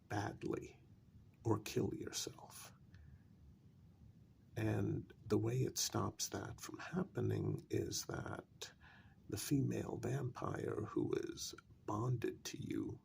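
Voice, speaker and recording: Vampire envoy, played by a man: lowish, heavily fried soft speech, deliberate and frank, for an intimate ASMR role-play. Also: a little hiss or hum, full character voice. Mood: grave, explaining. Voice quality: gravelly, breathy soft voice. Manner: slow, deliberate, with long pauses and firm emphasis.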